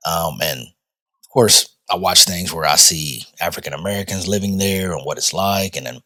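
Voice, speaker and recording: A man's voice: sits low, normal speed, bright, forceful tone. A man co-hosting a podcast, asking a guest curious questions with frequent restarts. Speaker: podcast co-host. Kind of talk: casual podcast chat.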